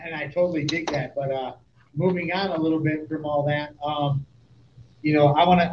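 Casual man with a slightly higher voice. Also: highs cut off, a little hiss or hum. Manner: casual and frank, with filler words. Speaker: interviewer host. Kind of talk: casual interview questions.

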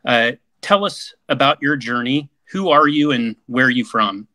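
Male host, upbeat, bright and forward, dry close sound. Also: mid-range voice, expressive, normal speed, no echo. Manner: upbeat, repeats praise words. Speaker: podcast host. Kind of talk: hosting an online panel forum.

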